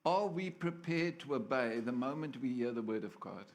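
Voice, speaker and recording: Playful preacher. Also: male voice, mid-range voice, expressive, moderate pace. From South Africa. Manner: playful, storytelling, asks the crowd for amens. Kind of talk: sermon.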